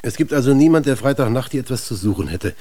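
An actor playing a shrewd, businesslike English police inspector in a German radio crime drama, probing and dryly ironic in manner. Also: male voice, mid-range voice, expressive, quick pace, warm tone, natural voice. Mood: businesslike, instructing.

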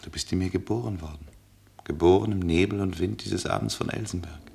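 An actor playing a tender, troubled traveller in love, speaking huskily and intimately in a radio play. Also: male voice, sits low, brisk, a little mellow, a little hiss or hum. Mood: hushed, solemn. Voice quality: husky, gravelly. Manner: intimate, pleading. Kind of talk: mid-century German radio play acting.

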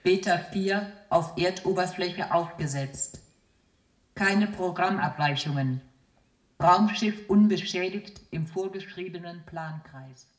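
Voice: medium pitch, takes it slowly, close to an everyday voice. An actor voicing an emotionless computer that formally announces status reports in a science fiction radio drama. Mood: impassive, formal. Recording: echoing, roomy announcement sound.

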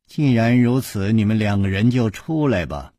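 A man voicing a menacing martial artist with a smiling face who speaks slowly and mockingly. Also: fairly low pitch, expressive, mellow, no echo, clean studio sound. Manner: slow, mocking.

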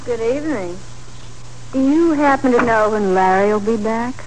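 Woman playing a high-voiced, coy society girl. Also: normal speed, darkish tone, close to an everyday voice, rough recording. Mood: coy, playful. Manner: coy, genteel, hesitant. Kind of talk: 1940s radio drama acting. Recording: faintly hissy 1940s radio broadcast.

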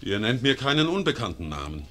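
An actor playing a stern confederate leader in a classic German radio play, speaking in declamatory verse that rises to fervent oratory. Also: male voice, mid-range voice, sing-song, brisk, fairly bright tone. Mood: measured. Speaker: Swiss confederate leader addressing the assembly.

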